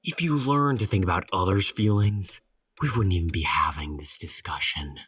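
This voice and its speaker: A stern male boss in an audio drama, with a low voice and a close, dry sound. He lectures and scolds in a condescending way. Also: moderate pace, fairly bright tone, husky, no echo. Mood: stern, scolding. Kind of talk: audio horror drama acting.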